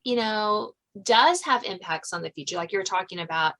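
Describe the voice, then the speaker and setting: A woman's voice: mid-range voice, expressive, moderate pace, fairly bright tone. A woman hosting a podcast, talking as an author in a conversational interview style.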